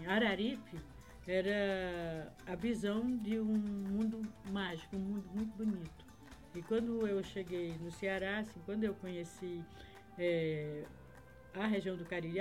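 A woman's voice: mid-range voice, a little mellow. A woman from Acre, Brazil, a podcast guest, reminiscing in a rambling way with many fillers and tag questions. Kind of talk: casual conversation, reminiscing.

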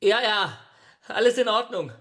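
An actor playing a dreamy, soft-spoken male drifter with wild plans, wistful and coaxing in a radio drama. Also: high voice, animated, normal speed. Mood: strained.

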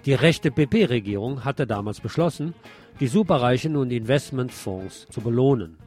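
A man's voice: medium pitch, expressive, normal speed, a little mellow, faint music behind. A man presenting scripted radio news, reading reports and adding wry, sardonic asides.

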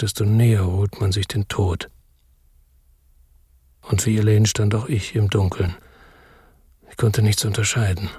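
An actor playing a brooding, world-weary hero who narrates a crime story in a radio drama, introspective and grave, with a husky voice. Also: male voice, fairly low pitch, a little mellow, no room sound, clean studio sound.

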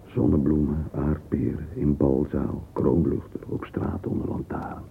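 An actor playing a wistful middle-aged man lost in thought, murmuring a halting interior monologue in a radio play. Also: a bass voice, little pitch movement, gravelly, exaggerated voice. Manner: murmuring, halting, fragmentary musing, sometimes whispered. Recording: narrow-band lo-fi old radio recording, hissy.

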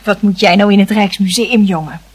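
A woman playing a brisk, headstrong aunt in a radio play, with a husky voice. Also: medium pitch, fairly even intonation, close to an everyday voice. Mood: brisk, insistent.

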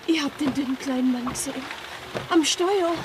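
An actress playing a wry, practical deaconess in a radio drama, dry and matter-of-fact. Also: female voice, high voice, normal speed, noisy background, lightly acted. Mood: brisk. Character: wry, practical deaconess narrating her own adventures. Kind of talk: radio drama narration and dialogue.

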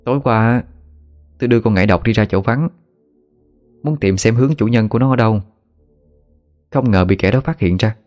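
A man voicing a calm young woman healer who speaks softly and steadily. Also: on the low side, moderate pace, pauses often, soft background music, close to an everyday voice. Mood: earnest.

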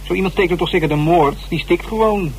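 A man's voice: high voice, fast talker, low-quality recording. An actor playing a cheeky, inquisitive young man in a radio drama, teasing and persistent in colloquial speech. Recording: faint noise in old radio recording.

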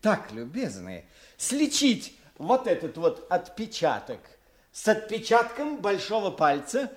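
A gloating police inspector in a theatrical detective audio play. His voice sits a little high and swings widely in pitch. He is smug and patronizing, leaning on his points with mock courtesy. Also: huge pitch swings, slow pace, full character voice. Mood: triumphant. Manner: triumphant, mock-polite, chuckling, emphatic. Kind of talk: theatrical radio drama acting in a detective play.

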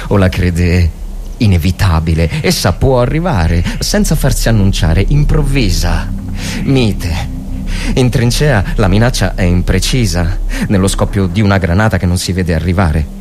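A man giving a dramatic, grave and measured narration of a war story as a literary reader. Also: low voice, lively intonation, background noise.

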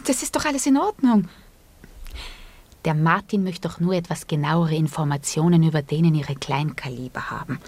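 Radio play: proud young comrade, indignant, lowish husky voice, defensive delivery. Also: female voice, animated, normal speed, darkish tone. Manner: reproachful, defensive. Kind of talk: radio drama acting.

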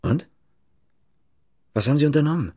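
An actor playing an insistent East German police investigator in a radio drama, asking formal, pressing questions in a slightly husky voice. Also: male voice, on the high side, animated, normal speed, a little mellow. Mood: probing.

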